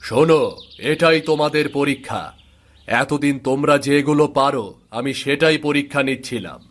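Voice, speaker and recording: A man playing a middle-aged village father in a cartoon folktale, genial but firm, speaking in an emphatic, deliberate way. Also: mid-range voice, close to an everyday voice. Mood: firm, instructing. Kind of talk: animated folktale dialogue acting. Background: background music.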